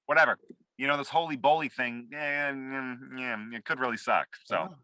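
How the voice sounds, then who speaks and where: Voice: medium pitch, moderate pace, bright, forceful tone, no echo, lightly acted. An actor playing a drug-addled, incompetent, blustering boss, rambling and dismissive, at a screenplay table read. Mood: dismissive, hazy.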